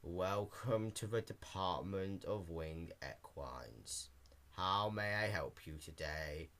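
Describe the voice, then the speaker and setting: A man voicing an emotionless, rule-bound pony clerk in a story reading, in a slow deadpan monotone. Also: deep voice, voice pushed down, heavily acted. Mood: flat.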